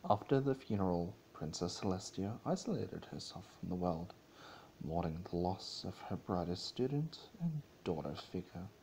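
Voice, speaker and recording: A man's voice: deep voice, expressive, moderate pace, warm tone, slight background noise, low-quality recording. A man giving an amateur dramatic reading of a story, stumbling over and repeating words as he goes. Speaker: amateur reader of fan fiction, channel host.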